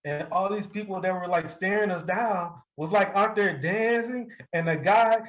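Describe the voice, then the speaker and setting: An American man telling stories casually in an interview, profane and quick to laugh. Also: dull audio. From Milwaukee, United States. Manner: animated, profane, breaks often into high-pitched laughter. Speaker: hip-hop artist being interviewed. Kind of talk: casual anecdotal storytelling.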